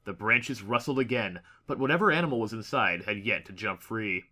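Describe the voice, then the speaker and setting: A man's voice: lowish voice, a little fast, pushed and bright. A man narrating a fantasy story as an audiobook reader, in a dramatic scripted style.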